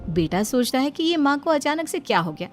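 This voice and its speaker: A woman giving scripted narration for an animated moral bedtime story. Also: high voice, a little fast. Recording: music behind the voice. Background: background music.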